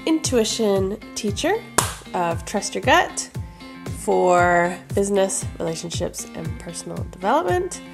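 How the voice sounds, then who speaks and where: A woman who teaches intuition talks casually to camera in an advice video. Her voice is hoarse and froggy from allergies, and she speaks loosely with fillers and restarts. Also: medium pitch. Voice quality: raspy.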